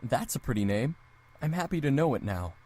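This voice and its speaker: A woman's voice: a bass voice, very animated, a little fast, darkish tone, slightly rough, full character voice. A woman voicing a shy, polite, awkward young man in a visual novel, hesitant and apologetic. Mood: friendly.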